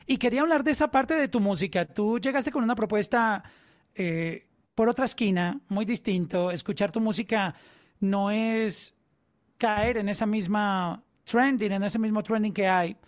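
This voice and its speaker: A woman's voice: medium pitch, moderate pace, no echo, clean studio sound. Colombian woman hosting an interview. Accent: Colombian Spanish. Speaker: podcast host. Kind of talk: interviewing a guest.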